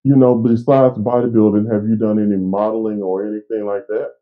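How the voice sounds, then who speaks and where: A man's voice: lowish voice, level tone, a little fast. A male host asking an interview guest casual questions in an easy-going way. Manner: brief affirmations, easy-going. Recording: tinny phone-like line.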